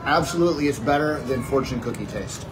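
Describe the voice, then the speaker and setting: A man's voice: medium pitch, quick pace, low-quality recording. A man in his forties chatting casually as he reviews a fair dessert, wordy and hesitant, with plenty of fillers and restarts, filmed on a phone in a small wooden shed. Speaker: food reviewer. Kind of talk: casual chat.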